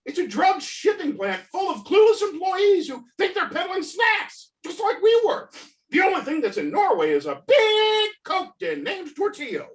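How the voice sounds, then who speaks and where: A man plays a two-faced snack company worker in a stage comedy. His delivery is hugely animated and dramatic, jumping between high and low. The sound comes from a distant mic on a video call. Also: moderate pace, full character voice. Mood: frantic, dramatic. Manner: dramatic, swooping from shouts to murmurs. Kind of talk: table read of a comedy play.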